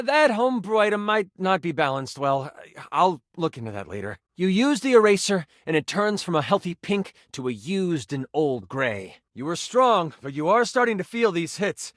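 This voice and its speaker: A man's voice: sits high, a bit bright, dry recording, studio quality, exaggerated voice. A man playing a playful, dramatic game master who narrates a tabletop fantasy adventure in a video game. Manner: theatrical second-person storytelling with casual asides.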